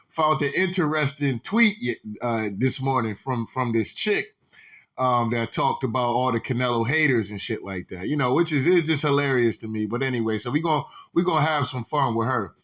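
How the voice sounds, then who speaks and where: A man's voice: medium pitch, normal speed, a bit bright. A man hosting his own commentary video, ranting casually with profanity and lots of repetition. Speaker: video host.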